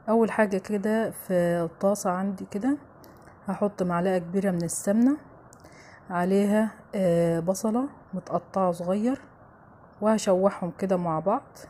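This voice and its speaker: A woman's voice: medium pitch, dark tone, a little hiss or hum. A woman with an Egyptian accent hosting a home cooking show, chatting familiarly to viewers as she narrates a recipe step by step.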